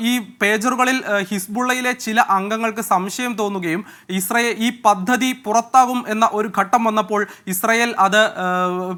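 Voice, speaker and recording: A man briefing from the news desk in a high, bright voice. His delivery is steady and informative but broken by frequent hesitations. The tone is that of a live, unscripted update.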